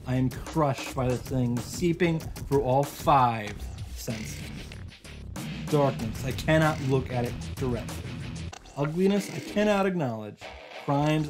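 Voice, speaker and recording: A man acts out a young male visual novel hero who is desperate yet determined. He narrates solemnly and intensely in halting phrases, in a middle-pitched voice. Music plays clearly behind him. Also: lightly acted. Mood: anguished.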